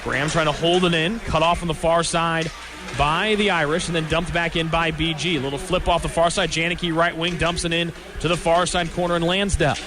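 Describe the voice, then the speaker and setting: A man's voice: a little high, steady tone, brisk. A man doing live radio hockey play-by-play, describing the action in clipped, descriptive fragments. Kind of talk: live sports commentary. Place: hockey arena during a live game.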